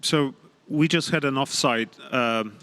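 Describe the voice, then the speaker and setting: A man's voice: medium pitch, unhurried, bright, forceful tone, clear, resonant tone. A man speaking as a panelist, explaining step by step with frequent hesitations. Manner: hesitant, many fillers, explanatory. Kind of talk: panel discussion. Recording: hall reverb, event stage.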